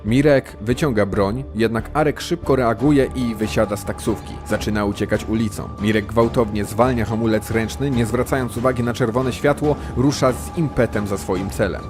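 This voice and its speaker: A man's voice: lowish voice, steady tone, moderate pace, few pauses, over music. A man reading scripted present-tense narration for an audio drama.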